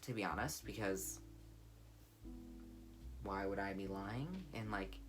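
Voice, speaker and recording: A young woman with a deep, warm voice, speaking candidly and reflectively in a personal vlog. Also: lively intonation, slow. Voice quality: deep, low voice; warm, comforting. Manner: candid, reflective, soft-spoken. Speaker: vlogger. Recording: guitar music playing underneath. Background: guitar music.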